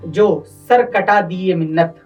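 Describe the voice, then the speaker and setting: A man's voice: high-pitched, takes it slowly, a little mellow, far from the mic, dull audio. A man hosts a poetry gathering, heard a little away from the microphone with faint plucked-string music underneath. He delivers couplets and introductions in a declamatory style, repeating phrases for effect. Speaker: poet and host of poetry gathering. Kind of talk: Urdu-Hindi couplet recitation and formal introductions.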